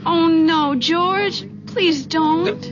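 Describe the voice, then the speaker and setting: An actress playing a fretful, imploring young wife in a 1970s radio drama. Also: female voice, very high voice, very slow, music in the background, full character voice. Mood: anxious, pleading. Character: anxious, pleading young wife, cautious and sympathetic. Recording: muffled, noisy old radio broadcast.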